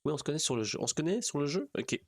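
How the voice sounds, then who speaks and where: A man's voice: a little high, sing-song, very fast, no echo. A man with a Quebec French accent chatting casually to livestream viewers, rambling and repeating his phrases. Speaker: streamer.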